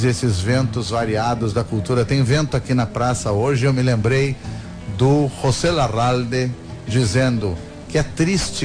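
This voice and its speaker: An effusive man who hosts a book fair talk, wandering through his thoughts with frequent restarts. His voice sits at a middle pitch, and faint music plays behind him. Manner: effusive, digressive, many restarts. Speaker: host.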